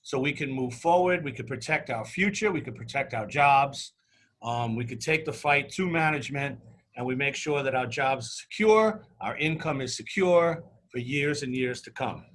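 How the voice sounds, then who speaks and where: A middle-aged man hosting a semi-formal campaign discussion, speaking in halting, repetitive phrases. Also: medium pitch, fairly bright tone. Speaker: talk show host and union candidate.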